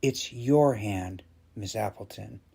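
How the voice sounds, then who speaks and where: A man voicing a shy, grateful little schoolboy in a storybook reading, speaking quietly and sincerely. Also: fairly low pitch, slow, vocal fry, breathy edge, full character voice.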